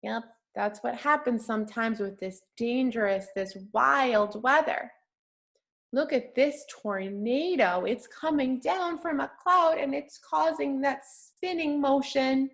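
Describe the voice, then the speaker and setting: A woman's voice: high-pitched, slow, gravelly, a bit bright. A woman reading aloud to young children like a teacher, using simple, patient, encouraging language and asking questions as she goes. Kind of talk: interactive children's read-aloud with questions and praise.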